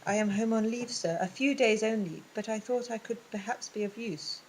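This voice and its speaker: A woman voicing a young male curate who speaks quietly, hesitantly and apologetically. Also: fairly high pitch, moderate pace, slight background noise, lightly acted, low-quality recording. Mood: apologetic. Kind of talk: audiobook reading.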